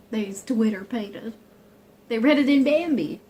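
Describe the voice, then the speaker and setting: A woman with a slightly high voice chats casually as a picture book is read. Her side remarks are wry, joking and bawdy. The home recording has some noise in it. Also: slow pace, mellow. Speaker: co-reader who comments on the story. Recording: clearly noisy home recording.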